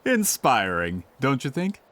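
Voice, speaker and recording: Theatrical, very animated gentleman schemer. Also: mid-range voice, a little slow, no echo. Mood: persuasive. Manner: polite, theatrical, persuasive. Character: smooth-talking, theatrical male employer and writer, ingratiating. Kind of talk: video game voice acting. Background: soft background music.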